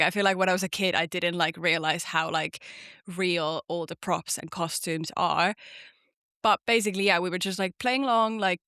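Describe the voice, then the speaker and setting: A woman's voice: lowish voice, smooth, no room sound, clean studio sound. A young woman from Finland, a podcast guest and actor, chatting casually with lots of "like" fillers. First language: Finnish. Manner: chatty, many "like" fillers, lively storytelling. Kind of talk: casual interview chat.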